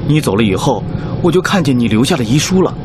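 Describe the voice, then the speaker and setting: A man's voice: fast talker, lightly acted. An actor playing a good-natured male doctor telling stories animatedly in a Chinese radio drama. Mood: wry storytelling. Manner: animated storytelling. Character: good-natured male doctor joking about his tearful wife. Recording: rumbling aircraft cabin noise.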